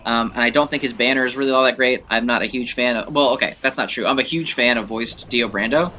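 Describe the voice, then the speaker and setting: A man's voice: medium pitch, fast talker, bright, forceful tone, slight background noise. A man giving casual, rambling game commentary as a video creator, talking like an enthusiastic fan with some mild swearing. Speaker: gaming video creator and host. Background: video game music.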